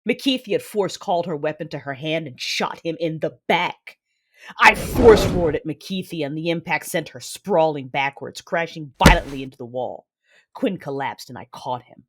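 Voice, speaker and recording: A woman's voice: sits low, moderate pace, lightly acted. An actress playing a vengeful, anguished Sith warrior, narrating her own tale in an intense, brooding, theatrical manner for an audio drama. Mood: fierce. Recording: loud music behind the voice. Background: loud dramatic music.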